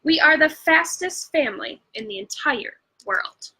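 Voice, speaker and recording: A woman's voice: high voice, expressive, a little slow, sharp tone, heavily acted, rough recording. A woman voicing an earnest young girl who is fond of her pet in a children's storybook. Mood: warning.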